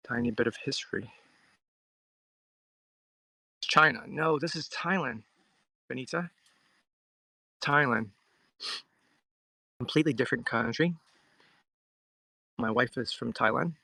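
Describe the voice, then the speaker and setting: A man from Hong Kong chats casually to his live-stream viewers. His voice is bright and forward with a frequent crackle of fry, and it sounds dry, with very little echo. He muses conversationally as he reads and answers comments. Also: medium pitch. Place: outdoors at a riverside.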